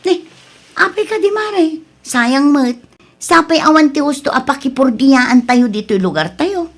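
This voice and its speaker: A fairly high female voice with an Ilocano accent, a woman acting an opinionated mother in a radio comedy-drama. She sounds skeptical, forever questioning and chiding. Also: animated, unhurried. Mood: concerned.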